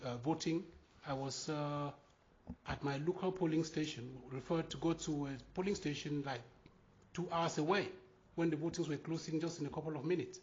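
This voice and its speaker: A man's voice: a little high, darkish tone. A man, asking a long question from the floor at a talk, full of ums and uhs. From Cameroon. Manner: halting, many ums and uhs. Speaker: audience member asking a question. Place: meeting room at a public talk. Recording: muffled, lo-fi conference recording.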